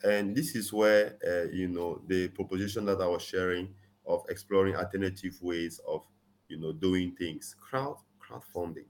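A man's voice: low voice, steady tone, normal speed, a little hiss or hum. A man, speaking earnestly as an interview guest, often saying "you know" and pressing hard on his key points. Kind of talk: conversational interview, advocacy.

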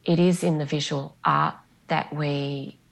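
A middle-aged Australian woman with a slightly low voice and a gravelly, fry-tinged edge. She talks as a novelist guest on a podcast, reflective and measured, her sentences unfolding at length. Greek was her first language. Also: steady tone, low-quality recording. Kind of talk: podcast interview.

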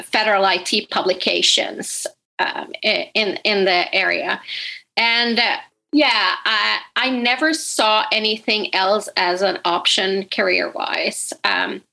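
A woman in her forties, originally from Sweden, tells her story as a guest in a casual interview. Her voice is bright and a bit gravelly, and she talks in a candid, chatty way with easy laughs. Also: medium pitch, poor audio. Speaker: guest, podcast host and entrepreneur.

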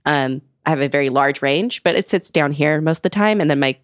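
A woman's voice: low voice, fairly quick, no room sound, studio quality. A young woman in casual podcast chat, explaining her research at length with many "like"s. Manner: explanatory, uses "like" often. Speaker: guest researcher.

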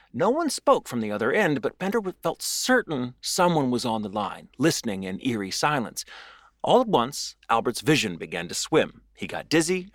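A man's voice: medium pitch, fairly bright tone, no room sound, studio quality. A man hosting a show, telling a paranormal history in a theatrical, wordy storytelling manner with wry, casual, profane asides. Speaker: podcast host and storyteller.

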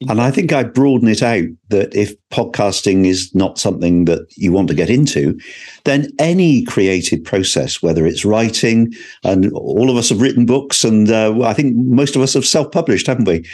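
A man's voice: fairly low pitch, lively intonation, normal speed, a little mellow, slightly rough. A man hosting a podcast, speaking reflectively and inclusively. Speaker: podcast host.

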